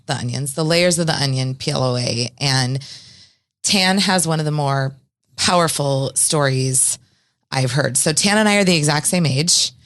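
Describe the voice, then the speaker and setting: A low-pitched woman in her forties hosts a podcast, speaking earnestly and from the heart. Her voice is close and dry, with no room sound around it. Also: no room sound. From Eastern Washington, US. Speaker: podcast host. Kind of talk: podcast intro and casual interview.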